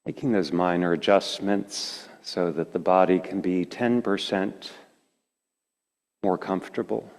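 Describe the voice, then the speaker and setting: A man leading a guided meditation as a teacher, speaking in gentle, slow phrases with long pauses. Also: low voice, fairly even intonation. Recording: reverberant hall.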